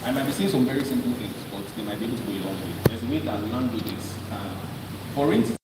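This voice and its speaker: A man's voice: medium pitch, far from the mic. Man speaking in an echoey hall. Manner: interactive, quizzes the crowd, many restarts. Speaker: speaker presenting to an audience. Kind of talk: live presentation with audience interaction. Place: large hall with a live audience. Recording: echoey hall, voice from afar, noisy.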